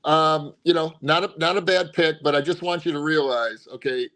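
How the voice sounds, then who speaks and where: Male co-host of a sports show, fairly high voice, animated and talkative. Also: moderate pace. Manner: animated, drops pitch to stress points, frequent restarts. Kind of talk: casual sports picks chat.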